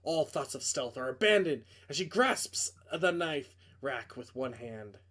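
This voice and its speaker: A man's voice: medium pitch, lively intonation, a little slow, fairly bright tone. A man reading a visual novel aloud as narrator, in a dramatic scripted storytelling style.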